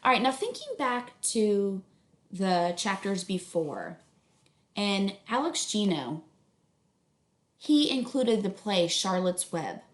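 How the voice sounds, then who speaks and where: A woman reading a children's book aloud as a teacher, instructive, pausing to put questions to students. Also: medium pitch, expressive. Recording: echoey room.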